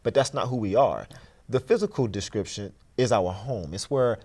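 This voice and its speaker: A man's voice: lowish voice, lively intonation, moderate pace, a little mellow. A man speaking as an interview guest, explaining his views in an emphatic, earnest, motivational way. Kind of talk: conversational, motivational talk.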